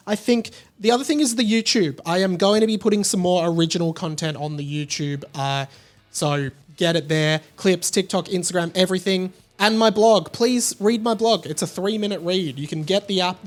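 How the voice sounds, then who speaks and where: An Australian man with a slightly high voice talks solo as a podcast host. His delivery is casual and conversational, rambling from one thought to the next.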